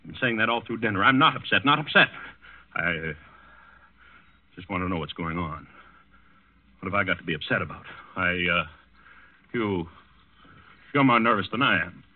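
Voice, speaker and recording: An actor playing a nervous, haughty count who stammers and repeats himself, in 1940s radio drama style. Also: male voice, low-pitched, expressive, normal speed, long pauses. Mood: nervous irritation. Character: nervous, agitated Danish count, haughty and morbidly fearful. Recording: muffled vintage 1940s radio recording.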